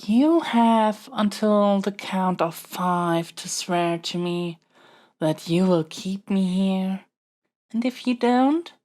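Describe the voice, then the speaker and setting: A woman's voice: mid-range voice, slow pace, a little mellow, close to an everyday voice. A woman playing a pampered young royal heir in a scripted audio roleplay, earnest and formal, with a wordy, haughty yet flustered manner. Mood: dramatic blackmail.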